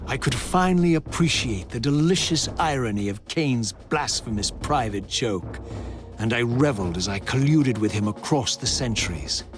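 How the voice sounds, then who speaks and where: A man's voice: medium pitch, lively intonation, music in the background, close to an everyday voice. Brooding, theatrical undead avenger played by a man. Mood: sardonic relish. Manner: theatrical, ornate, deliberate diction. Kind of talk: dramatic scripted game narration.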